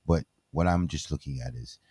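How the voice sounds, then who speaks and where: A man hosting a podcast, hyped and animated, talking straight to his audience. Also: very deep voice, normal speed, slightly rough, dry recording, clean studio sound. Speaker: podcast host. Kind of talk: casual chat. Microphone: studio mic.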